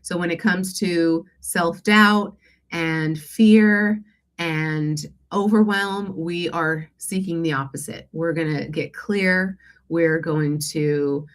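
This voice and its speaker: A woman hosting a casual live coaching Q&A, congested from allergies, talking conversationally with hesitant pauses and check-ins with her listeners. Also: lowish voice, steady tone. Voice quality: stuffy, congested nose. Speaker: host and life and business coach.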